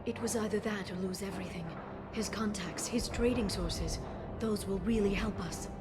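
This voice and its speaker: An actress playing an earnest, soft-spoken, determined young alien woman in a video game, with halting, emotional delivery. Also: mid-range voice, lightly acted. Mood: nervous, earnest. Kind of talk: video game cutscene acting. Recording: loud music behind the voice.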